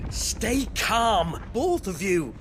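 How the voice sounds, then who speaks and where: A man's voice: sits high, sing-song, drawn-out words, exaggerated voice. An actor playing a bossy, jovial foreman of a hapless mining crew in a fantasy video game, hearty and commanding. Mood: exasperated. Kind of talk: video game dramatic dialogue. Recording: loud ambient noise over dialogue.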